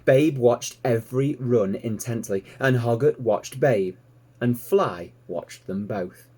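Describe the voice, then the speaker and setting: A man's voice: medium pitch, takes it slowly, mellow, husky. A man reading a children's story aloud expressively, like a teacher to pupils.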